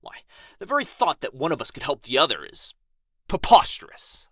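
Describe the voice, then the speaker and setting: A man's voice: on the high side, huge pitch swings, normal speed, bright, forceful tone, breathy edge, no room sound, studio quality, exaggerated voice. A man playing a proud, pompous male dragon in a fantasy ASMR roleplay, with archly formal, theatrical phrasing. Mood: scornful, haughty. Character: proud, haughty injured male dragon, grudgingly grateful, teasingly possessive.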